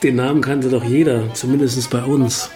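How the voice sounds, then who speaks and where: A man's voice: medium pitch, steady tone, moderate pace, mellow, roomy sound, faint music behind, lightly acted. An actor as a guarded, wry middle-aged male writer. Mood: reflective. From East Germany. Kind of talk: naturalistic radio drama dialogue.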